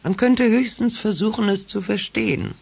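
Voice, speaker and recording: A woman playing a kind, thoughtful mother with a low, husky voice in a 1980s children's radio drama, speaking earnestly. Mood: earnest, reflective. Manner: earnest, measured, expressive.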